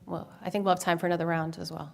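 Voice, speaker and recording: A woman moderating the questions, friendly and brisk. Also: lowish voice, little pitch movement, creaky, a little mellow, long reverb tail.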